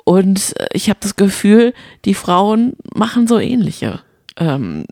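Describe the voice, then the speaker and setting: A woman's voice: mid-range voice, big pitch swings, slow pace, creaky, mellow, dry recording, clean studio sound. A young woman co-hosting a podcast, chatting casually and telling stories in everyday speech. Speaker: co-host of a reality TV podcast. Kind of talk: casual chat, telling anecdotes.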